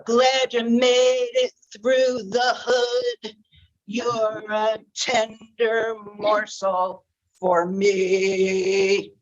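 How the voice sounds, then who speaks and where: A woman's voice: fairly high pitch, monotone. A woman doing a gloating big bad wolf. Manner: slow, gloating and sing-song. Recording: dull lo-fi recording, voice off-mic.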